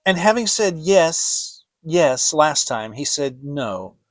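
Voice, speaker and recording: Slow, expressive male storybook narrator. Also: a little high, soft background music. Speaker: audiobook narrator. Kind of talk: dramatic children's story reading.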